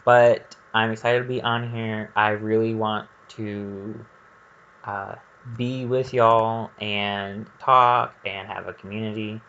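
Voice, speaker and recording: A man's voice: on the low side, little pitch movement, slow pace, fairly bright tone, clear, resonant tone, muffled recording. A man giving casual, rambling gameplay commentary, thinking aloud in a candid and hesitant way. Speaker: gaming video creator.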